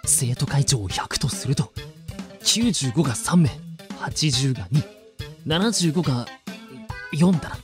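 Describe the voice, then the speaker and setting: A man's voice: a little high, expressive, a little slow, pauses often, over music. A man playing a stiff, pompous student council officer in an amateur voice drama, speaking in formal, old-fashioned phrases. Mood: awkward.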